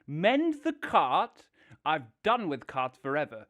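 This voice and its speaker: A man's voice: fairly high pitch, huge pitch swings, slow pace, a bit bright, no echo, dull audio, full character voice. A man playing a vain, dreamy toad with a theatrical, gushing manner in an audio drama. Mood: gushing, indignant. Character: vain, rapturous male toad, dreamy and car-obsessed.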